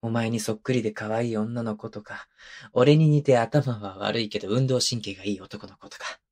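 A man's voice: fairly low pitch, fairly even intonation, normal speed, darkish tone, close to an everyday voice. A male voice actor playing a playful, clingy, childlike young husband in an intimate ASMR drama, coaxing and teasing in a casual, hesitant way. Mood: earnest, tender. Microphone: close microphone, binaural.

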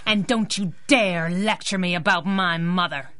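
A woman's voice: fairly low pitch, fairly even intonation, measured pace, a bit bright. A woman playing a sassy, streetwise young superhero sidekick in a 1930s-style radio drama. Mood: angry. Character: feisty young masked heroine sidekick, tough and sassy.

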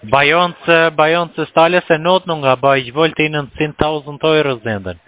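A man's voice: fairly high pitch, moderate pace. A man on a phone call, talking in a mocking, provocative way. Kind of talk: phone call, taunting chat. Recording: noisy call with clear background noise.

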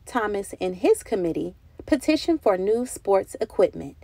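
A woman narrates a children's picture book expressively. Her pitch moves around in a lively way, and the recording is dry and free of room sound. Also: normal speed, no echo. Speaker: children's book read-aloud reader.

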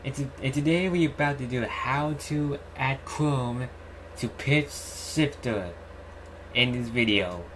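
A young man giving a casual screen-recorded tutorial in a halting, repetitive way. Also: mid-range voice. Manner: halting, repetitive, says "alright" often. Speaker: YouTuber host. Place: indoor room at home. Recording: clearly noisy home recording, keyboard typing.

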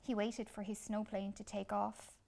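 A woman reads a children's picture book expressively, with deliberate dramatic pauses. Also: mid-range voice, flat delivery, vocal fry, warm tone. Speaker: children's storytime reader. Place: small furnished room indoors.

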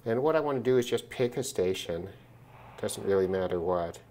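A man giving an informal hands-on gear demonstration, thinking aloud in a measured way as he goes. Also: lowish voice, lively intonation, mellow, a little hiss or hum. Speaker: hobbyist demonstrating a shortwave radio test. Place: indoors at a work desk.

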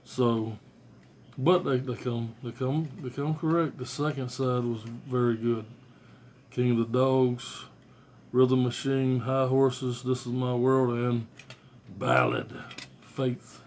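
An American man in his forties talks casually in a small room at home. His voice sits at an average pitch, and his delivery is blunt, slangy and profane. The phone recording carries faint noise and clicks. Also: slow pace, a little mellow. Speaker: music fan reviewing albums on video. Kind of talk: casual unscripted vlog chat. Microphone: phone or tablet at arm's length.

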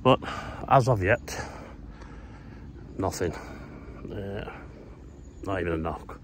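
Deep-voiced man vlogging outdoors. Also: takes it slowly. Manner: plain-spoken, trailing off with frequent "uh". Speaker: angler vlogger. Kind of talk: casual fishing vlog to camera. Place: outdoors by a lake, and inside a fishing shelter at night. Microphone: phone held at arm's length. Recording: outdoor background noise clearly audible.

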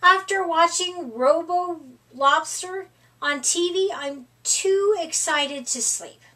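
Woman as a stubborn, high-voiced young bear boy. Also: slow, bright, forceful tone, far from the mic, exaggerated voice. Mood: dismissive. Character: scornful, stubborn young male bear pupil.

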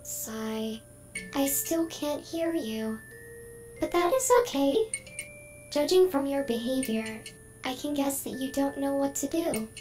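A woman's voice: sits high, slow pace, darkish tone. A synthetic-sounding female voice playing a lonely AI trapped inside a computer in a game, polite, earnest and oddly emotional. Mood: anxious. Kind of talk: game character dialogue. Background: game music.

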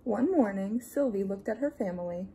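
A young adult woman reading a picture book aloud to young children, expressive and deliberate, with playful asides. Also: mid-range voice, a little hiss or hum, low-quality recording. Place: small room indoors.

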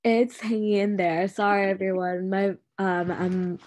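A woman co-hosting a webinar, hoarse because she is losing her voice, politely thanking the speaker. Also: medium pitch, flat delivery, a little slow, a little mellow, no room sound. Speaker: webinar co-host. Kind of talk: webinar moderating.

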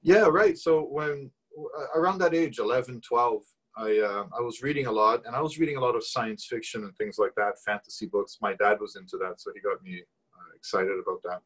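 A man's voice: medium pitch, fairly quick, a bit bright, breathy edge, poor audio. A Brazilian-born man in his forties whose first language is Portuguese, talking thoughtfully in a video call interview from a small room at home, often restarting and repeating words. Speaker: guest. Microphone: video call webcam.

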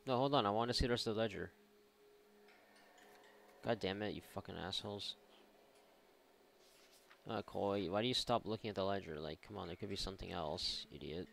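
Male let's-player, lowish gravelly voice, sarcastic, mock-exasperated, sweary casual commentary. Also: brisk, pauses often, fairly bright tone. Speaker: video game let's-player. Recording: faint game noise behind voice.

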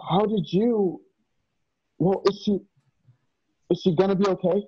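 A man playing a shaken, stubbornly loyal protector in a radio drama, talking haltingly. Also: high-pitched, steady tone, breathy edge. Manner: halting, stammering. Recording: dull lo-fi video call.

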